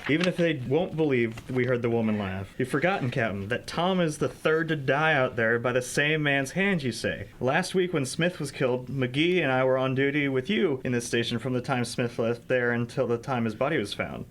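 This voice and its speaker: A man's voice: medium pitch, normal speed, few pauses, a bit bright, close to an everyday voice. A man playing an earnest, protective state trooper in a radio play, insistent and reassuring. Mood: defensive. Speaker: amateur student actor.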